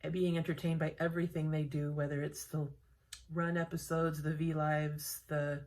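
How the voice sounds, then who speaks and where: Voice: mid-range voice, little pitch movement, normal speed, a little mellow. An adult fan listing what they enjoy, in an enthusiastic way. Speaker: fan in a video clip.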